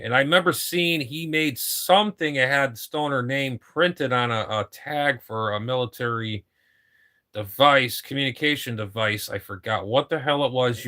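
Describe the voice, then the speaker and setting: A man's voice: mid-range voice, sharp tone. A man in his fifties, a ham radio operator chatting casually on air, rambling and repeating himself, with casual swearing. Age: 50s.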